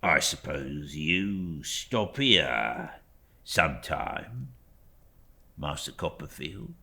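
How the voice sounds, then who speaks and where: A man voicing a fawning, falsely humble young clerk who speaks in a slow, insistent, obsequious way for an audiobook. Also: extremely low pitch, big pitch swings, gravelly, bright, forceful tone, voice pushed down, full character voice. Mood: oily politeness. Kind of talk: audiobook character voice.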